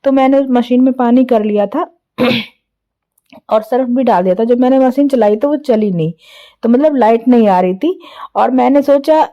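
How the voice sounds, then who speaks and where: Hoarse homely woman, chatty vlog voice-over. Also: a little high, muffled recording. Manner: chatty, homely, many fillers. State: hoarse from a sore throat. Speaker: vlogger. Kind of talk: casual voice-over narration of daily chores. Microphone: recorded voice-over.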